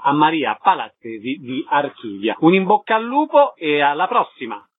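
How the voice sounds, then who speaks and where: A man works as a radio interviewer with a fairly high voice. He delivers announcements and questions in a presenter's style. The sound is dry and narrow, like a tinny broadcast. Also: lively intonation, normal speed, slightly rough, no echo.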